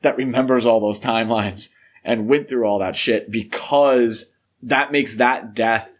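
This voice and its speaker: A man's voice: medium pitch, fairly even intonation, unhurried. Casual, emphatic man hosting a podcast. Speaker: podcast host. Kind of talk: casual film discussion.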